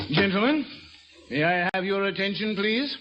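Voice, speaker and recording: Stuffy formal college dean, high voice going up and down, old radio drama, dull sound. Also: male voice, heavily acted. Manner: pompous, formal, declamatory. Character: formal, earnest college dean addressing students. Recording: muffled, slightly noisy old radio recording.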